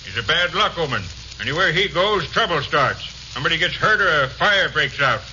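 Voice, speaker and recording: Sharp, high-pitched hostile watchman. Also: expressive, brisk. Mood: accusing. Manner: curt, gruff, accusing. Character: hostile, superstitious warehouse watchman driving people away. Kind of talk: 1950s radio drama acting. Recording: muffled, hissy 1950s radio recording.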